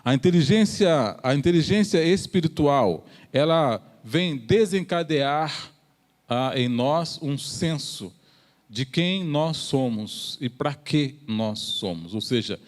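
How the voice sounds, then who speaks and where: A Brazilian man delivers a church sermon in a distinctly high-pitched male voice. He explains his points step by step, often pausing and repeating a phrase before carrying on. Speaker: preacher.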